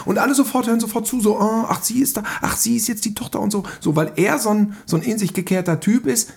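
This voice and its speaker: Casual man with a slightly husky voice. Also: high-pitched, fast talker. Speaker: podcast guest. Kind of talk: casual film discussion.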